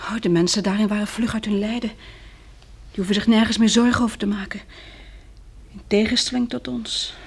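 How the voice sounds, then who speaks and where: Radio drama survivor: young, wry and willing, soft-spoken with a husky edge. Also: female voice, mid-range voice, steady tone, moderate pace, pauses often. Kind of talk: Dutch radio drama acting.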